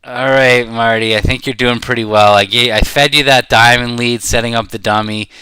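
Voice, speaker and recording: Bright-voiced man thinking aloud over a game. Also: mid-range voice, fairly even intonation, clear, resonant tone, no room sound, low-quality recording. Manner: rambling, halting, thinking aloud. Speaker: bridge player commentating while playing. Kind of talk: casual live game commentary.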